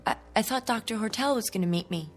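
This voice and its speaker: A woman's voice: mid-range voice, animated, fast talker, faint music behind, natural voice. A woman playing an earnest, defiant young woman in a radio drama, questioning and insistent. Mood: uneasy.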